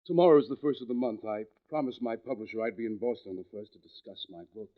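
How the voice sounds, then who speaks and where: An actor playing a troubled, secretive husband in a 1940s radio suspense drama, with a halting, evasive delivery. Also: male voice, mid-range voice, close to an everyday voice. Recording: vintage radio, phone-like narrow band.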